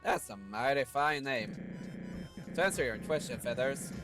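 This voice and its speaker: A man's voice: medium pitch, expressive, measured pace, lightly acted. Showboating cowboy town boss played by a man, big swoops, folksy swagger, music behind. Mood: commanding, eager. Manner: folksy, theatrical, grandstanding. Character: swaggering Wild West monster town boss, male, showboating.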